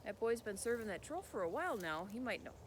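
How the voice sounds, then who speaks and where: A storyteller voicing a clever wolf who calmly lays out a plan. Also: medium pitch, quick pace, slight background noise, close to an everyday voice. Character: clever scheming wolf helper.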